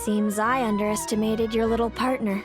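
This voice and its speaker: A proud young female rival from a video game, acted by a woman, speaking in a slightly high voice. She sounds self-assured and composed, with music clearly audible behind her. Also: flat delivery, normal speed, lightly acted. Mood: gracious in defeat. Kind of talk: game voice acting. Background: loud background music.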